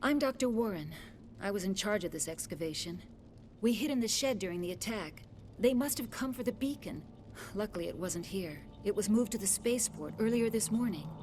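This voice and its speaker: A woman playing an earnest, composed scientist in a video game, speaking in a measured, articulate way. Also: medium pitch, expressive, slight background noise, lightly acted. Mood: earnest, relieved. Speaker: scientist in charge of an excavation. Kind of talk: dramatic video game dialogue. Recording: loud game music under dialogue. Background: music.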